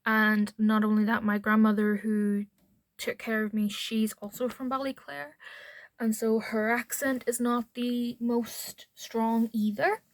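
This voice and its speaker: A woman's voice: on the high side, level tone, a little slow, no echo, rough recording. A woman with a mild Northern Irish accent chatting casually to camera at home, rambling into asides as a beauty vlogger. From Northern Ireland.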